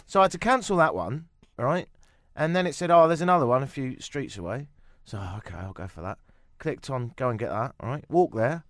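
A man hosting a talk radio show, sardonic and animated, joking and ranting about the news. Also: medium pitch, fairly quick, no room sound, clean studio sound. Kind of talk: opinionated talk radio chat. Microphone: studio microphone.

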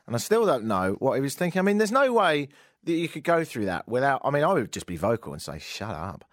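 Lively sarcastic man ranting. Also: medium pitch, fast talker, dry recording, studio quality. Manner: mocking, exasperated, lively. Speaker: radio show host. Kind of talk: sarcastic comic rant.

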